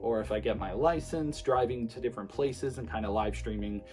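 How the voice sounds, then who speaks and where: A man's voice: medium pitch, a little fast. Casual young man with faint music. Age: 20s. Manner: relaxed, rambling, many fillers and restarts. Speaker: streamer and vlogger. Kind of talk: casual talk to camera.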